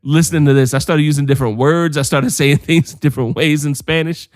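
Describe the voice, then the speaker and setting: Ranting young man, high-pitched and dry. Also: fairly even intonation, fast, no room sound. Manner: ranting, swears freely, laughs mid-sentence. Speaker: podcast co-host. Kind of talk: casual chat.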